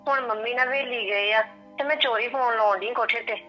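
An actress with a Punjabi accent playing a young sister-in-law who calls secretly and apologizes, heard over a phone. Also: female voice, fairly high pitch, fairly even intonation, fairly quick, faint music behind. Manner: hushed, apologetic. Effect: phone filter. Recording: tinny phone line.

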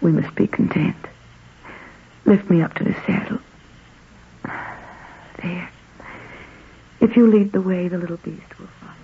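Patient, weary biblical wife: soft husky delivery, 1940s radio drama, tinny narrow sound. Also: female voice, on the low side, a little slow, pauses often, heavily acted. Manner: soft, tired, gentle. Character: gentle, weary young wife, patient and uncomplaining. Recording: thin, phone-like 1940s radio recording.

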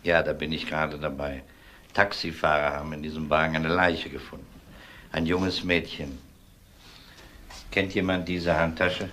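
A composed police detective questions witnesses in a radio crime drama. The voice is deep, the manner polite and methodical, with faint noise on the recording. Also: male voice, little pitch movement, normal speed, long pauses, bright, forceful tone. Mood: matter-of-fact, probing. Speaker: actor.

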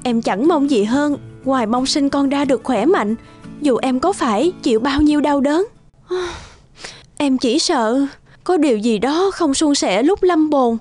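A woman playing a gentle, anxious young expectant mother in a dubbed cartoon drama. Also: high-pitched, expressive, a little slow, faint music behind. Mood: worried.

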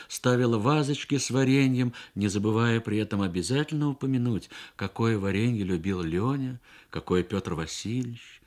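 A man narrating a literary story for radio with measured, expressive phrasing and deliberate pauses. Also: fairly low pitch. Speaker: story reader.

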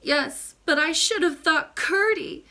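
Woman playing a bright, earnest little princess. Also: high-pitched, takes it slowly, pitched above the performer's own voice, full character voice. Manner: eager, polite. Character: earnest, polite little princess girl, trusting and curious.

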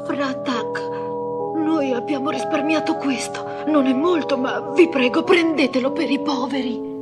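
A woman's voice: high voice, unhurried, over loud music. A woman dubbing a humble, earnest little church mouse wife in a cartoon, with a pleading manner. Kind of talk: amateur cartoon dub.

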